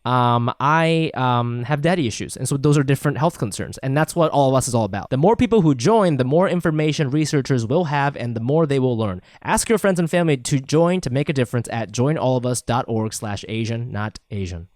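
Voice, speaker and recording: A man's voice: mid-range voice, animated, a little fast, few pauses, darkish tone, no room sound, clean studio sound. A man co-hosting a podcast in an informal, joking way, in casual chat and chatty host-read spots.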